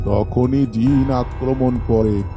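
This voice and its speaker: A man voicing a scornful, plain-spoken old village father in an animated horror story. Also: medium pitch, slow pace, over music, pitched below the performer's own voice, close to an everyday voice.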